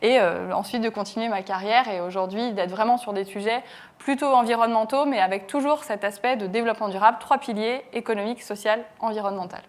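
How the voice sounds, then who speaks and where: A woman's voice: medium pitch, quick pace. A woman, a former diplomatic advisor, describing her career on a panel in a fluent, earnest way. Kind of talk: panel talk, career story.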